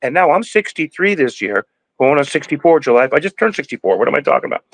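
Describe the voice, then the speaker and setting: A man's voice: a little high, sing-song, fast talker, gravelly, a bit bright, dry recording, rough recording. A man in his sixties, a podcast guest, talking in a rambling, preachy way with many restarts. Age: 60s. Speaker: podcast guest, cannabis breeder. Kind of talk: casual interview talk.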